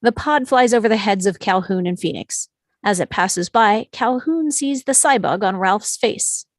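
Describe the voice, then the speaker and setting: A woman narrates an animated film script, reading the stage directions aloud. Her voice sits at a medium pitch and sounds dry, with no room around it. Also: normal speed, no echo.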